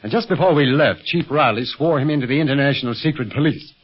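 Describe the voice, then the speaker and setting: A man's voice: mid-range voice, quick pace, slightly rough, lightly acted. A man playing a genial secret agent and uncle in a 1930s radio adventure serial. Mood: earnest. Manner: amused, chuckling, teasing. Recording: dull old radio recording with music.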